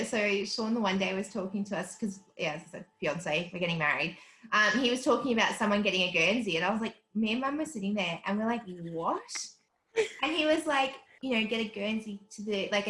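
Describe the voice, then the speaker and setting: A woman's voice: medium pitch, animated, fast talker, a bit bright, roomy sound, rough recording. A woman in her twenties, raised in South Africa, with a light Australian accent, telling stories animatedly as an interview guest and laughing often. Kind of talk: casual interview chat.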